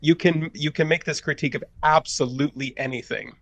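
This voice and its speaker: Guy on a podcast panel riffing with mock quotes, slightly high voice, dry sound. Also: steady tone, a little fast, fairly bright tone, dry recording, muffled recording. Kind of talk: casual opinionated group discussion.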